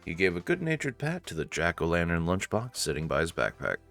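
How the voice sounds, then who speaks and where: A man's voice: deep voice, sing-song, brisk, creaky, fairly bright tone. A man reading scripted narration for a visual novel. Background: faint music.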